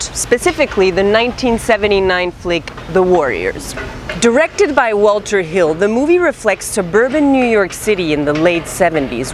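A woman's voice: mid-range voice, a little hiss or hum. A woman in her thirties presenting scripted news items as a show host, in casual, slangy language. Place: city street, outdoors. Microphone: lapel mic. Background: music.

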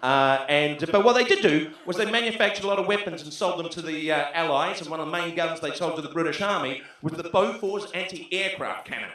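A man in his thirties with a New Zealand accent doing a brash, sweary stand-up set into a handheld mic, shouting his punchlines. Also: a little high, animated, a little fast, few pauses. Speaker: stand-up comedian. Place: comedy club stage, brick wall. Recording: echoey club room.

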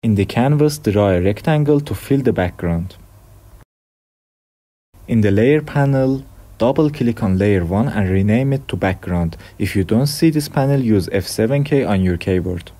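A young man from Iran with an Iranian accent, hosting a software tutorial with plain step-by-step instructions. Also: fairly low pitch, animated, moderate pace. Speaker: tutorial host. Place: dim room at a desk.

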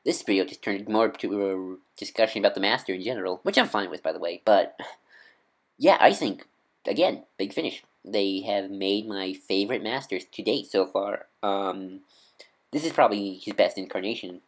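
A man with a nasal voice giving casual, off-the-cuff fan commentary as a vlogger, rambling and halting as he corrects himself. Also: fairly low pitch. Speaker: YouTube vlogger and podcaster.

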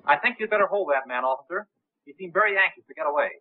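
A suspicious young gentleman in an old 1930s film. His clipped voice runs fast and animated, through a tinny, narrow soundtrack. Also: on the high side, no room sound. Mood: accusing. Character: suspicious young nephew of a wealthy household, clipped.

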